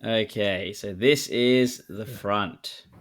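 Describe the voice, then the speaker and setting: A man's voice: fairly low pitch, extremely slow, dry recording, clean studio sound. A man in his twenties with an Australian accent, chatting casually as a guest in a hesitant, laid-back, self-correcting way. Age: 20s. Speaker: podcast guest. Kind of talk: casual conversational interview.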